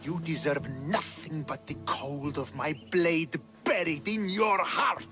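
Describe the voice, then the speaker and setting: A young Spartan army commander in a video game drama, bitter and quick to anger. His voice is raised in pitch, forceful and very bright, with scornful, accusing intensity. Faint music plays behind him. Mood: furious.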